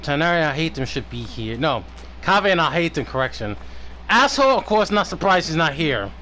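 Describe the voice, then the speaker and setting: A man's voice: a little high, big pitch swings, fairly quick, sharp tone, slight background noise. A man giving casual, rambling live gameplay commentary, swearing and prone to giggling. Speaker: game streamer.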